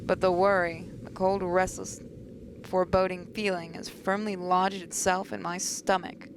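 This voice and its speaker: A woman's voice: on the low side, little pitch movement, a little slow, noisy background. A woman hosting a gaming video, reading a visual novel aloud with asides and occasional stumbles. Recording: voice over game music.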